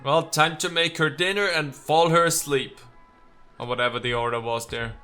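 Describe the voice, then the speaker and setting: A man's voice: fairly high pitch, normal speed, pushed and bright, faint music behind. A man doing let's play commentary, reading game text aloud and reacting with sarcasm and exasperated swearing. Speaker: let's player.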